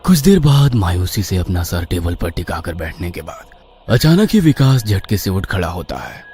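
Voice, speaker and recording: A man's voice: sits low, big pitch swings, moderate pace, darkish tone, a little hiss or hum. A man narrating a horror story in a theatrical, suspenseful manner.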